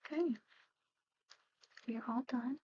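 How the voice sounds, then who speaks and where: A woman doing an ASMR roleplay, speaking softly and in whispers, with a hesitant, halting, gently conversational manner. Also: sits high, breathy edge, no echo, highs cut off.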